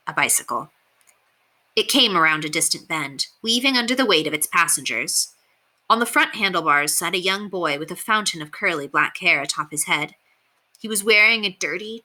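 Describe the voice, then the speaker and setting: A woman reading a children's novel aloud as expressive narration. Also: lowish voice. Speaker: storybook reader.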